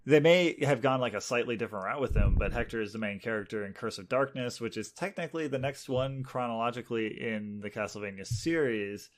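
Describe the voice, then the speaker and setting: Casual male co-host, mid pitch, conversational podcast discussion. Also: brisk, few pauses, background noise.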